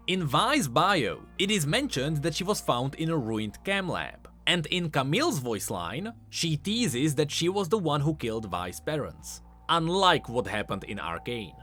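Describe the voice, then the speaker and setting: A man's voice: on the high side, a bit bright. A man giving scripted, explanatory commentary on video game lore in an emphatic way.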